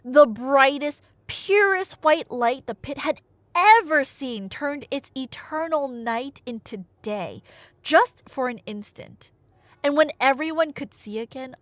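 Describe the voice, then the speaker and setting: A woman's voice: on the high side, dry recording. A woman telling a myth in a casual, chatty but dramatic way, with wry asides, like an ASMR roleplay storyteller. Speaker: storyteller playing a chatty girlfriend.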